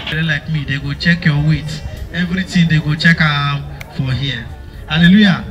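A Nigerian man gives instructions to a gathering in a high-pitched voice. He checks in with listeners like a preacher, and he sounds distant from the microphone. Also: normal speed, highs cut off, music in the background. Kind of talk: instructing a crowd in Nigerian Pidgin. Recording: voice far from the mic.

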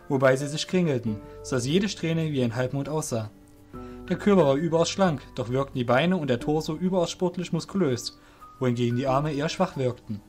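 A man reads scripted fantasy narration in a somewhat high voice. His delivery is deliberate and descriptive, and faint music runs behind it. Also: a little mellow.

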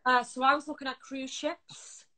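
A woman in her thirties with a husky voice, a pop singer chatting as a guest over a phone held close to her face, laughing through her stories. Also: high voice, level tone, normal speed, bright, forceful tone. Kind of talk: casual video-call interview. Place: room indoors at home.

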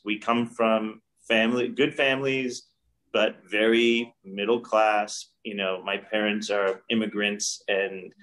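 A middle-aged man speaks in a lowish, unhurried voice, reflecting earnestly and often restarting his sentences. He is talking from a room at home over a webcam call. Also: fairly bright tone, poor audio. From New York. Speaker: guest director. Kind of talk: casual interview. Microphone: video call webcam.

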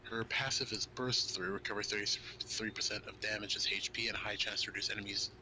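Male gaming co-host, low voice, casual stat read-outs, noisy recording. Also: fairly even intonation, fairly quick, bright, forceful tone. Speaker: co-host reading game card translations. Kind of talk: casual gaming news chat, reading out stats.

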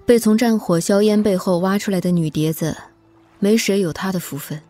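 An actress playing a prince's shrewd, exacting elder sister in an audio drama. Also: female voice, mid-range voice, moderate pace, dark tone, faint music behind, natural voice. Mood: pointed.

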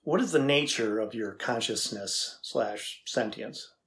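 A man's voice: lowish voice, expressive, unhurried, fairly bright tone. A middle-aged man playing a curious, skeptical tech engineer interviewing an AI in a scripted dramatization, matter-of-fact and inquisitive. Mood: polite, businesslike. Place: indoors, plain backdrop.

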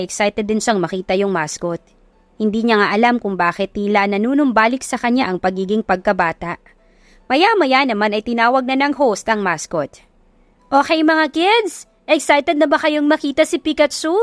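A woman narrates a romance audiobook from a script. Her voice sits at a medium pitch. The recording is dry, with no room sound. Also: expressive, normal speed, no echo.

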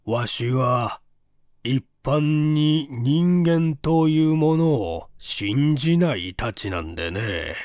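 A man voicing a sickly, suspicious rich old man with a gravelly, slurred voice and a halting, nagging manner. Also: mid-range voice, lively intonation, a little mellow, dry recording. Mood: grudging. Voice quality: gravelly, slurred, thick-tongued. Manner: halting, labored, clinging.

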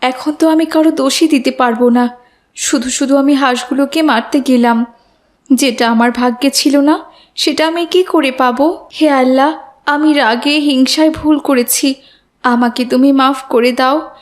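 A woman's voice: high-pitched, steady tone, unhurried. A woman voicing a hot-tempered, spiteful village neighbour woman in a moral cartoon. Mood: remorseful. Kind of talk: children's moral cartoon dialogue.

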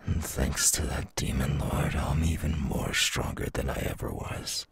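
A man voicing a menacing, gloating young demon villain in an ASMR audio roleplay, with a husky, breathy, gravelly voice. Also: rumbling low, flat delivery, unhurried, no room sound, full character voice. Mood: hungry, gloating.

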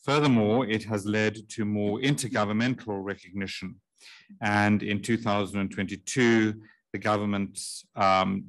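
A middle-aged man with a deep, low voice delivers formal remarks into a table microphone. His intonation stays flat and measured, with the occasional um, and the sound is that of a large conference room. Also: poor audio. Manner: measured, formal, with frequent ums. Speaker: panel chair giving opening remarks. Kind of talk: formal speech, partly read.

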